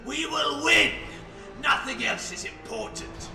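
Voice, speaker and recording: A man's voice: very high voice, takes it slowly, vocal fry, roomy sound, distant mic, music in the background, heavily acted. A man voicing a boastful, vicious ratman warrior in a fantasy character piece, gloating in exclamations and repeating himself. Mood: fanatical boasting. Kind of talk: character voice demo.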